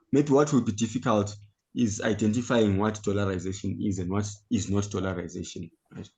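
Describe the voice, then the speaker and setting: A man's voice: lowish voice, darkish tone. A man leading an online economics tutorial, explaining step by step and often checking in with "right?" over a video call. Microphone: computer mic on a video call.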